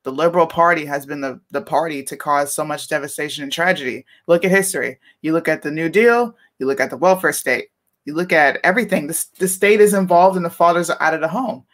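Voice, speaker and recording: A woman's voice: sits low, fast. A woman co-hosting a casual political chat, speaking emphatically and conversationally. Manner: emphatic, conversational, leans on "listen" and "okay". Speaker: podcast co-host.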